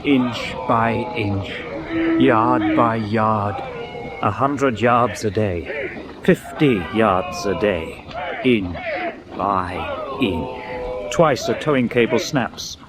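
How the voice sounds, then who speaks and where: Slow, measured British naval narrator. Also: male voice, on the low side, lively intonation. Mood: grave, suspenseful. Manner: measured, deliberate, suspenseful. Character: reflective British naval expedition member narrating his adventure. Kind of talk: dramatic first-person radio drama narration. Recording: clear background ambience noise.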